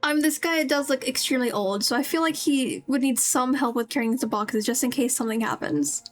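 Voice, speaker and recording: A woman's voice: high voice, fairly quick. A woman livestreamer giving casual, rambling game commentary as she chats to her viewers. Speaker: livestreamer playing a video game. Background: game music.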